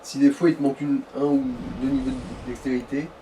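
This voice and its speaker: A man's voice: medium pitch, fairly even intonation, a little fast, dark tone. A man in an informal, explanatory gaming chat, advising the player as co-commentator. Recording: distant mic, faint noise.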